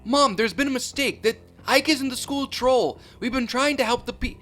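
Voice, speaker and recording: A man's voice: very high-pitched, moderate pace, fairly bright tone, soft background music, pitched above the performer's own voice. A man voicing a panicked young boy who pleads desperately with his mother. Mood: pleading.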